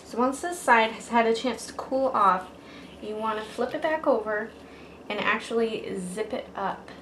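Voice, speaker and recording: A woman's voice: on the high side, normal speed, fairly bright tone. Echoey, casual woman giving craft instructions. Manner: informal, addresses viewers as guys. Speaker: craft tutorial host. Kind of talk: casual step-by-step how-to instruction. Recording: echoey room with faint background noise.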